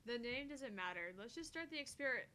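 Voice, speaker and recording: A woman's voice: animated, moderate pace, bright, forceful tone. A woman voicing a prickly, sarcastic young woman scientist, curt and huffy, for a visual novel. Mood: exasperated. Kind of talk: visual novel character acting. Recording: faint rumbly background noise.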